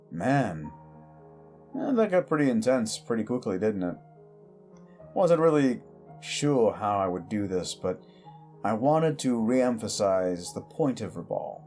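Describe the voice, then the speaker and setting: A man in a loose, friendly outro talks in a fairly low voice. He rambles along upbeat with plenty of filler words. Faint music plays underneath. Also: darkish tone. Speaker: host and audio creator; therapist. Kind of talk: casual outro chat.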